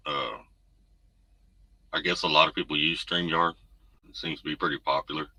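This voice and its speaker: Deep-voiced laid-back trucker man. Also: fairly even intonation, moderate pace, sharp tone, breathy edge, no room sound. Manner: laid-back, rambling, reads viewer comments. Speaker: trucker livestream host. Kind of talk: casual livestream chat. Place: home room. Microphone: desk USB condenser mic. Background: noise.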